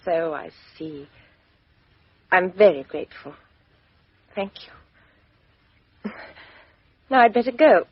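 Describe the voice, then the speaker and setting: A woman's voice: on the low side, expressive, slow, pauses often, husky, no echo, exaggerated voice. An actress playing a proud, defiant, bitter young English woman in a radio mystery drama, with an English accent and clipped, intense, emotional delivery. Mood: relieved, rueful. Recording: dull, dry lo-fi radio recording.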